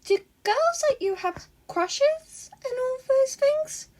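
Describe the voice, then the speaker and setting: A woman's voice: very high voice, expressive, slow, a little mellow, voice pushed up, heavily acted. A woman voicing a playful, teasing teenage girl villain in a story reading. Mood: puzzled, inquisitive. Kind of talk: fan fiction read aloud.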